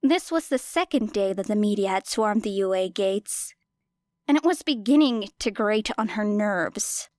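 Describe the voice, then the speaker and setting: A woman's voice: mid-range voice, expressive, unhurried, darkish tone, dry recording, studio quality. A woman reading scripted fiction as an audiobook narrator, with dramatic delivery.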